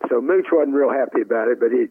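Older man as interviewed coach, rough-edged voice, folksy anecdotes over a thin phone line. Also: medium pitch, quick pace, dry recording. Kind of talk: casual anecdote telling.

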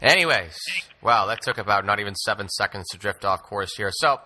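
Bright, forceful man hosting a sports podcast. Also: on the low side, animated, brisk. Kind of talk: conversational basketball commentary.

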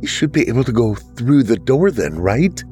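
A man's voice: medium pitch, very animated, slow, a little mellow, faint music behind, pitched above the performer's own voice. A frightened young woman ghost, as a male narrator puts her on. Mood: hopeful. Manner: pleading, shaken.

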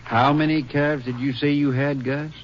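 A man's voice: mid-range voice, steady tone, moderate pace, close to an everyday voice. A man playing an easygoing small-town Texas sheriff with an American accent and neighborly, folksy manner in a 1950s radio drama. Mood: neighborly, reluctant. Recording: noisy, muffled old 1950s radio recording.

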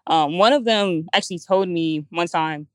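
A young woman who works in sales, chatting casually on a panel with lots of fillers and quick restarts. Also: fairly low pitch, no room sound, clean studio sound. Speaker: sales coach.

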